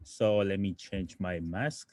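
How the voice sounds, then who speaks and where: A man's voice: sits low, takes it slowly, a little mellow, dry recording, clean studio sound. A man from Japan, a native Japanese speaker, teaching an online programming lesson in English, explaining step by step with many fillers and self-corrections and often asking the audience questions. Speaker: coding teacher.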